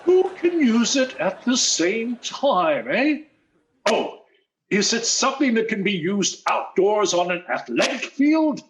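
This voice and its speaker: An actor playing a blustery, pompous older boss in 1940s radio sitcom style. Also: male voice, high-pitched, sing-song, slow pace, heavily acted. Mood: curious, eager. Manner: pompous, theatrical delivery. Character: blustery, demanding older male boss, easily indignant.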